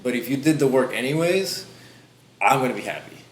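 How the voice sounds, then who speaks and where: A man's voice: medium pitch, expressive, brisk. A man from the Philippines chatting casually and earnestly on a podcast, in informal bursts. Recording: roomy echo, background noise.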